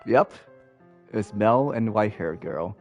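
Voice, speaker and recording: A man's voice: lowish voice, lively intonation, a little slow, lo-fi sound, faint music behind. A man casually recapping a visual novel for viewers, talking in a laid-back, rambling way with lots of fillers. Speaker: let's play host. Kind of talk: casual game recap commentary.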